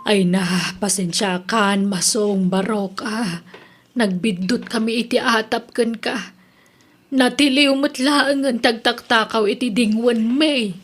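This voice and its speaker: A woman's voice: fairly high pitch, slow. A woman playing a gossipy, defensive middle-aged village auntie in a radio drama, with an Ilocano accent. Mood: apologetic, sheepish.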